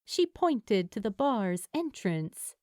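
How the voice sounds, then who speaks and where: Female fiction narrator: slow, melodic delivery, very dry studio-like sound, expressive storytelling. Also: medium pitch, no echo.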